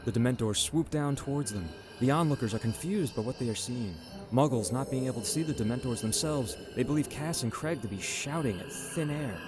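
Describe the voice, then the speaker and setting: A man's voice: mid-range voice, animated, fairly quick, few pauses. Dramatic male narrator over music. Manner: dramatic storytelling. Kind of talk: scripted radio drama narration. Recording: music bed behind the voice.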